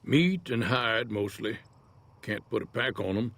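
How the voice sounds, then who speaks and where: An actor playing an easygoing older American prospector in a Western-style video game, folksy and laconic in manner. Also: male voice, fairly low pitch, animated, measured pace, gravelly, a bit bright, full character voice. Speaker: game character, townsfolk prospector. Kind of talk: video game character dialogue.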